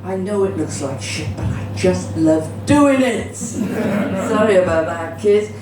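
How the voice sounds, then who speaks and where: A woman's voice: medium pitch, expressive, takes it slowly. A middle-aged woman with a Cardiff Welsh accent performing live stand-up comedy in a chatty, bawdy manner, swearing freely. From Cardiff, Wales. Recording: roomy, distant, noisy live venue recording.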